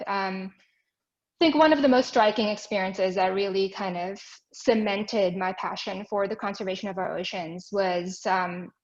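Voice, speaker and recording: A woman's voice: mid-range voice, dull audio. A young woman from Switzerland, guest on a webinar, telling her story casually with many ums and restarts over a video call. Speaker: guest, ocean art nonprofit.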